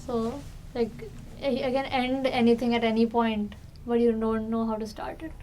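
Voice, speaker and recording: A woman's voice: a little high, little pitch movement, moderate pace, a little mellow, a little hiss or hum. A woman talking casually as a participant in an unscripted group discussion.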